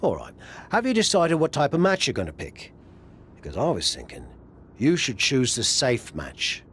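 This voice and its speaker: An actor playing a playful, scheming wrestling buddy in a video game cutscene, with a jokey, casual manner. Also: male voice, medium pitch, huge pitch swings, normal speed, fairly bright tone, a little hiss or hum. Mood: playful pitching.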